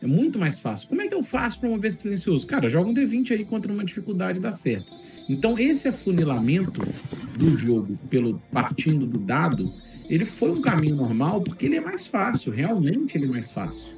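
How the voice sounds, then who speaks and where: A man's voice: high-pitched, huge pitch swings, fairly quick, darkish tone. A man with a Brazilian accent chatting on a podcast in a conversational, explanatory way. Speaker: podcast speaker and game master. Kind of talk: casual podcast discussion. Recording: distant mic under clear background music. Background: music.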